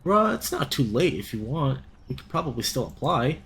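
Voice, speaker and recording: A man's voice: medium pitch, sing-song, moderate pace, creaky, a bit bright. A man voicing a playful young gator in a visual novel, with a slangy, hammy manner. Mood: earnest. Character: young male gator, playful, teasing, mock-heroic friend.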